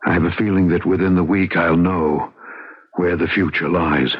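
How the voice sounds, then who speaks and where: A man's voice: deep voice, fairly even intonation, husky. An actor playing a haunted, obsessive man in a radio drama, earnest and dramatic. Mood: defiant. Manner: earnest, dramatic, halting when pressed. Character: haunted, agitated, obsessive man drawn to mysteries. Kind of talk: radio drama acting with first-person narration. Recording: narrow-band, noisy old radio recording.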